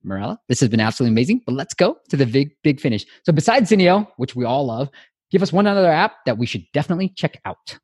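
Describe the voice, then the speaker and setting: Chatty husky-voiced male podcast host. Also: on the high side, big pitch swings, quick pace, darkish tone, dry recording, clean studio sound. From Myanmar. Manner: enthusiastic, chatty, uses "like" often. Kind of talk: casual podcast interview.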